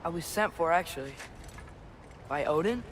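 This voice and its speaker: A young man plays a plucky teenage giant boy in a video game, with a high, youthful voice. He talks casually and earnestly, determined underneath. Clear ambient noise sits behind the voice. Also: animated, normal speed, close to an everyday voice. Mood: guarded. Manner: casual, earnest, talking to himself. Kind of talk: naturalistic video game acting.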